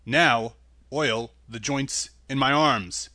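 A man reading a storybook aloud, voicing a sad, polite man made of tin who speaks slowly and mournfully. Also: pushed and bright, dry recording, studio quality. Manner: slow, low and mournful.

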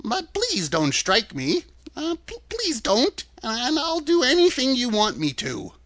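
A man's voice: very high-pitched, huge pitch swings, measured pace, pushed and bright, no room sound, studio quality, voice pushed up, full character voice. A man voicing a meek little old humbug wizard in a storybook, trembling and stammering fussily. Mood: frightened. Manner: stammering, fussy, chuckling.

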